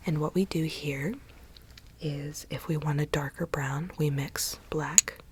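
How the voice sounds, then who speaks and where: A woman whispers close to the microphone in an ASMR consultation role-play. Her delivery is breathy, gentle and slow, as if explaining each step to a client. A faint hiss sits behind the low whisper. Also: steady tone.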